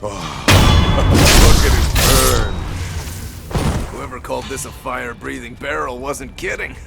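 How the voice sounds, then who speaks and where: A gleeful, rowdy male arsonist bandit in a game. His rasp is extremely creaky at a middling pitch, over loud music. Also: expressive, takes it slowly, exaggerated voice. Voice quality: heavy rasping creak. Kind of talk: scripted video game voice acting.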